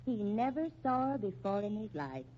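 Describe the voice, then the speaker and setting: A woman's voice: mid-range voice, very animated, normal speed. A woman playing a brash, teasing, wisecracking young newspaper reporter in a 1950s radio drama, slangy and mocking. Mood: wry, mocking. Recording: muffled, noisy old 1950s radio recording.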